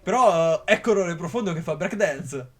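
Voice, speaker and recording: A man's voice: sits high, expressive, normal speed, soft background music. A man in his twenties narrating a scripted explainer, reading from a script and occasionally stumbling over words. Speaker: YouTube narrator.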